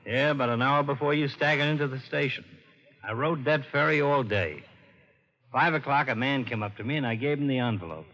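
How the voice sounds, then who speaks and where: An actor playing a gruff, plain-spoken police detective in an old-time radio crime drama. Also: male voice, mid-range voice, brisk, a bit bright, close to an everyday voice. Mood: concerned, rueful. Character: gruff, practical male police detective, the hero's colleague. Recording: muffled, reverberant old radio recording.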